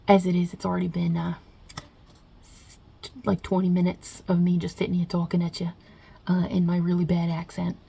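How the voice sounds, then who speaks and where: A woman's voice: lowish voice, monotone, a little fast, vocal fry, long pauses, warm tone, slightly rough. A woman voicing God as a brash, short-tempered, non-binary Italian mob boss in an ASMR roleplay, with a put-on Italian-New York accent and a blunt, chummy, rambling delivery. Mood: casual. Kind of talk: ASMR roleplay interview, improvised.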